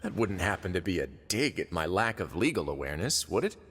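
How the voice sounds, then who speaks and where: A man's voice: low-pitched, sing-song, fairly quick, fairly bright tone. A man playing a casual, teasing fortress overseer in a game, with an informal manner. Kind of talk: game voice acting. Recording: music under the voice.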